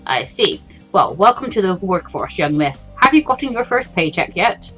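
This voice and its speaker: A woman's voice: lowish voice, sing-song, moderate pace, fairly bright tone. A woman voicing an easygoing, friendly, teasing working man in a visual novel, with a laid-back manner. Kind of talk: visual novel character reading. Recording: music behind the voice.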